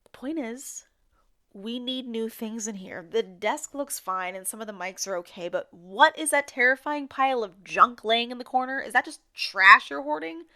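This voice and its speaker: Actress as bright, opinionated woman radio host. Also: on the high side, lively intonation, moderate pace. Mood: exasperated, nagging. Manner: opinionated, exasperated, sarcastic. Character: outspoken, indignant woman co-hosting a town radio show. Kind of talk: audio drama acting as conversational radio banter.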